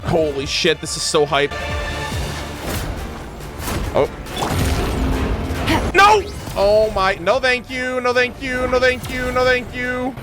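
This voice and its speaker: A man doing excited live gameplay commentary for his chat, exclaiming and swearing often in gamer slang. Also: very high voice, slow pace, pauses often. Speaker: game streamer talking to chat. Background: video game music and combat sounds.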